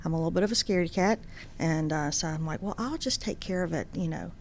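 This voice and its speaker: A woman's voice: fairly low pitch, fast talker, slight background noise. A woman, from Georgia, telling her story earnestly in a casual radio interview as a guest. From Georgia, USA. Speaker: guest, superior court judge.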